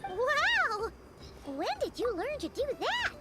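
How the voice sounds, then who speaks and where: A woman voicing a tiny, cheerful, childlike fairy companion with a squeaky voice in a video game. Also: wildly swooping pitch, takes it slowly, loud music behind the voice, exaggerated voice. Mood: amazed. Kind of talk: video game cartoon voice acting.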